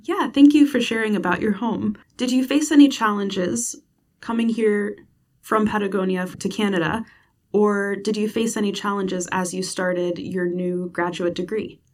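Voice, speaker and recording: A woman's voice: medium pitch, steady tone, moderate pace, distant mic. A woman hosting a podcast interview, asking friendly questions in a casual way. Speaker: podcast host.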